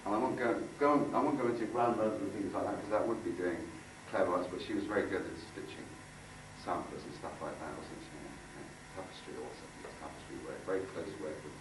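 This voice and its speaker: A man giving an informal workshop talk, halting and conversational, repeating phrases for emphasis. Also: deep voice. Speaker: workshop speaker and aura healer. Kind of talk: informal talk with demonstration and guided visualisation. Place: indoor room before a group. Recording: echoey room, distant mic, faint noise.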